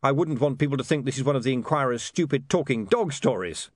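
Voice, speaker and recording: A man voicing an earnest, brisk young male reporter in an audiobook. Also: mid-range voice, expressive, husky, dry recording, studio quality, voice pushed up. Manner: earnest, brisk, slightly flustered.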